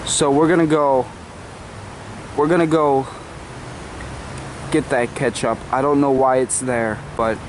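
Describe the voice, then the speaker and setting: A young adult man hosting a mock nature show to camera in a halting, deadpan, mock-reverent way. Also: mid-range voice. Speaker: host of a comedy cooking show. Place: outdoors in a suburban backyard. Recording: noisy outdoor camera audio, background hiss.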